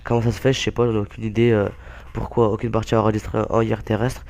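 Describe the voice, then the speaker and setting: A young man with a hoarse, blocked-nose voice from a cold, giving halting, casual gameplay commentary full of fillers. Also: lowish voice, little pitch movement, a little mellow. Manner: halting, many fillers and restarts. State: hoarse, stuffy-nosed with a cold. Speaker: gaming YouTuber.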